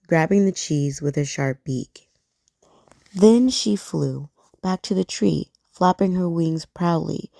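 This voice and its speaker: A woman reading a bedtime story aloud in a soft, gentle, soothing way, close to the mic. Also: sits low, gravelly, no room sound.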